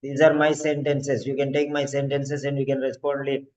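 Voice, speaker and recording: A male nursing teacher running a role-play practice lesson, dictating and spelling out phrases slowly. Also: mid-range voice, monotone, a little mellow.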